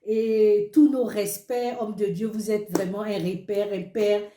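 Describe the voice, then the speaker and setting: Warm-toned woman hosting in an echoey studio. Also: mid-range voice, fairly even intonation, a little slow, slight background noise. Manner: affirming, with frequent amens. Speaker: show host.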